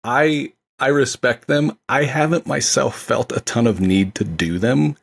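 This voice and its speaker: A man's voice: mid-range voice, a little slow, gravelly, husky. A man co-hosting a podcast, chatting casually about films in an enthusiastic, agreeable way. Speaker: podcast co-host, film director. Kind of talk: casual film review chat.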